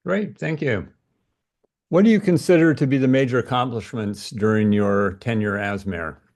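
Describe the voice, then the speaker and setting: A man speaking as an interviewer, reading prepared introductions and questions in a measured, formal way. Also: medium pitch, darkish tone.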